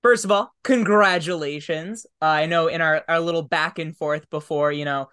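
A man's voice: on the high side, fairly even intonation, moderate pace, pushed and bright, smooth. A man hosting a podcast in casual interview chat, speaking in a conversational, affirming way. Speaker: podcast host.

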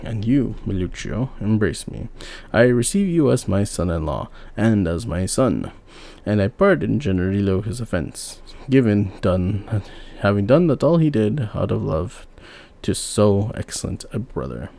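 A man with a gravelly voice voicing a stern old father, a sorcerer, proclaiming his judgment. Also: lowish voice, expressive, measured pace, dark tone, heavily acted. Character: powerful, vengeful but forgiving old father sorcerer.

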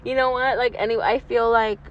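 A woman's voice: fairly high pitch, steady tone, brisk. A woman in her early twenties chatting casually with a friend in a slangy, rambling, giggly way. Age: 20s, twenty-three. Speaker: vlog host. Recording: dull lo-fi, outdoor traffic noise.

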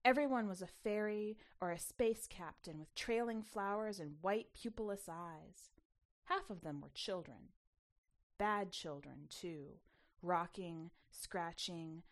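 A woman reading a short story as narrator, in an expressive scripted storytelling style. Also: fairly low pitch. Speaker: podcast host and story narrator.

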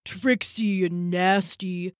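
A woman voicing a gloating, cruel goblin leader for a storybook, with a gravelly voice and a mocking, drawn-out delivery. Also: fairly low pitch, steady tone, slight background noise. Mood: angry, scolding. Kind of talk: storybook character voice.

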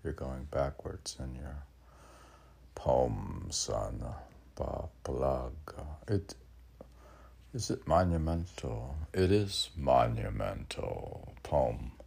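A man with a very low, gravelly voice gives an informal poetry reading. He speaks slowly, musing aloud and questioning himself between lines. There is some noise behind him. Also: very animated, darkish tone. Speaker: poet reading and commenting on own poems.

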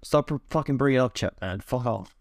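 A man playing a crude, defensive young frat bro with halting, sweary slang in an improvised comedy sketch. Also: mid-range voice, no echo, natural voice.

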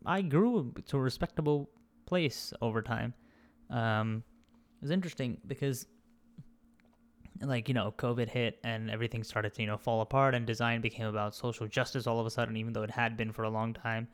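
A young man from India whose accent blends British, Australian and American sounds. He talks as a podcast guest in a candid, reflective way, often stopping to find the right words. His pitch sits in the middle for a man. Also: moderate pace, clean tone. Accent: mixed British, Australian and American. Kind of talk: casual conversational interview.

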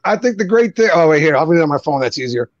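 A man's voice: fairly high pitch, animated, no room sound, lo-fi sound. A man with a New Jersey accent, chatting casually and joking as a co-host of a group video stream. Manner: joking, laughs often, quick back-and-forth.

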